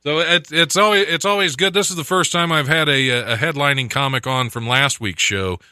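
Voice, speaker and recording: Giggly talk radio host, bright voice. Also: male voice, on the high side, fairly quick, clean tone, no echo, studio quality. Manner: jokey, laughs often at his own asides. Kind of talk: casual chat and reading out odd news. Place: radio studio.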